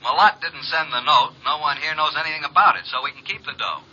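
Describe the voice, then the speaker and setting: An actor playing a brash, wisecracking American private investigator in an old radio drama, breezy, slangy and direct. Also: male voice, fairly high pitch, fast, sharp tone, slightly rough, full character voice. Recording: muffled vintage radio recording.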